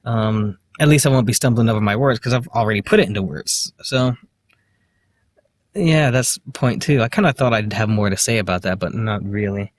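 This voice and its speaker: A man with a slight lisp, talking to the camera in a halting, hesitant way, full of fillers and restarts. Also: fairly low pitch, no echo. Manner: quiet, self-deprecating. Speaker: vlogger. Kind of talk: casual self-recorded monologue.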